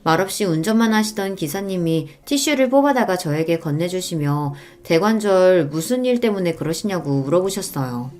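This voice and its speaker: A woman's voice: lowish voice, animated, normal speed, few pauses, a little mellow. A woman reading a scripted first-person story in a polite, plaintive storytelling manner. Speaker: story narrator.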